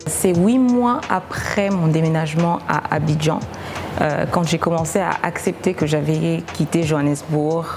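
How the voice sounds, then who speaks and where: A woman's voice: sits low, moderate pace. A woman in her late twenties from South Africa, speaking reflectively and hesitantly in a documentary interview. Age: late 20s. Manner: reflective, hesitant, with many fillers. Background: music.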